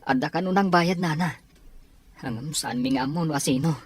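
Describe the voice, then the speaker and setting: Audio drama casting: a man as worried, grateful young son, raspy and slightly high-voiced. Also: moderate pace, no echo.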